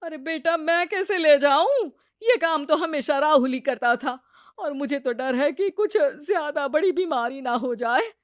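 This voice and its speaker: A woman acts out an older mother-in-law in a moral tale. She is high-voiced, homely and long-suffering, gently pleading and resigned. The sound is dry and close. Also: measured pace, no room sound. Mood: worried. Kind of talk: acted dialogue in a Hindi moral story.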